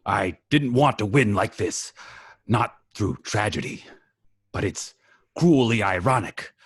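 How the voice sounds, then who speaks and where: A man acting a gruff, insincere politician in a script table read. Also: lowish voice, animated, slow, no echo, clean studio sound, heavily acted. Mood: solemn speechifying. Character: Rupert Thorne, gruff, insincere male politician.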